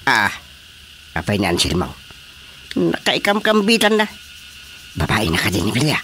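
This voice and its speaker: A woman's voice: extremely low pitch, huge pitch swings, fairly bright tone, slight background noise, exaggerated voice. A woman playing a curious, nosy neighbour who exclaims and questions, in an Ilocano radio drama comedy. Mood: curious, amused. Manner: inquisitive, reacting with drawn-out exclamations.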